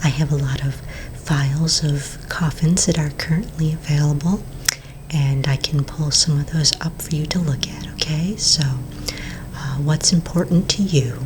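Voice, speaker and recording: A woman voicing a courteous vampire real estate agent in a soft-spoken, mostly whispered ASMR roleplay, polite, unhurried and attentive. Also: sits low, fairly even intonation, noisy background, close to an everyday voice. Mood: soothing, helpful.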